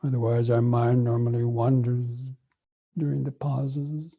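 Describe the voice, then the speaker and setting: A man guiding a breathing meditation, speaking softly and evenly with long pauses between phrases. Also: mid-range voice, highs cut off. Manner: slow, soft and even, with long pauses. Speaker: meditation teacher.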